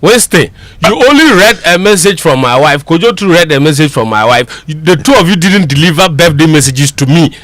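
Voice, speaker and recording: Excitable young man reading sports news. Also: a little high, brisk. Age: young adult. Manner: excitable, teasing, animated. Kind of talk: radio news reading and studio banter. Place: radio studio.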